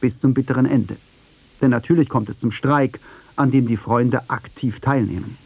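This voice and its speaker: A man narrates a radio feature from a script. His voice is medium in pitch, very mellow and husky, with a dry studio sound. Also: fairly even intonation, normal speed, no echo.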